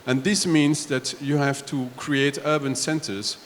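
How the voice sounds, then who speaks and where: A man from Belgium on a discussion panel, laying out his points one step at a time in a halting way. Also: mid-range voice, steady tone. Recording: echoey hall, faint background noise.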